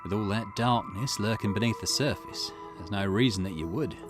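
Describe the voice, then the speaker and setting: Guy doing a polite nosy man in a visual novel, courteous but insinuating, loud music behind. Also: on the low side, moderate pace, close to an everyday voice. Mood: courteous, probing.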